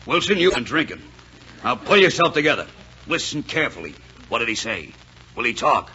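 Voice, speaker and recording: An actor, a man, playing a gruff, suspicious small-town police chief who barks orders in 1940s radio drama style. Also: mid-range voice, big pitch swings, a little fast, bright, forceful tone, full character voice. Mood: exasperated. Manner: barking, commanding, sardonic. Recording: dull vintage 1940s radio broadcast.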